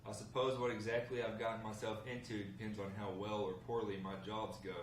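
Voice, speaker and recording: A man's voice: fairly low pitch, level tone, fairly quick, close to an everyday voice. A man reading the part of a confident, defensive husband who is joining a gang, in a drama script table read. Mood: defensive, insistent. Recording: echoey room, distant mic, faint noise.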